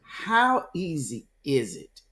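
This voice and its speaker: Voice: mid-range voice, huge pitch swings, slow pace, sharp tone, slight background noise. An interview host asking loose, chatty, rambling questions.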